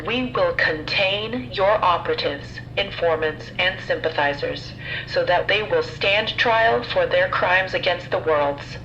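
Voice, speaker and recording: Actress as an icy female council leader, sharp and bright-toned, formal and menacing, slightly muffled with faint music behind. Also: lowish voice, a little slow. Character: cold female council leader issuing an ultimatum.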